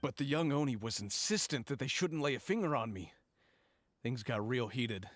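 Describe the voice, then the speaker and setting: A man's voice: medium pitch, lively intonation, normal speed, close to an everyday voice. A man playing a timid, shaken merchant in a video game, talking in an anxious, cautioning way.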